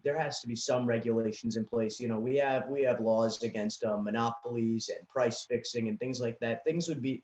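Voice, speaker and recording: A young man from New York with a New York accent, speaking as a podcast guest in a measured way, trailing off at the ends of his points. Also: mid-range voice, a little mellow, echoey room, rough recording. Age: young adult. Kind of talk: casual political discussion.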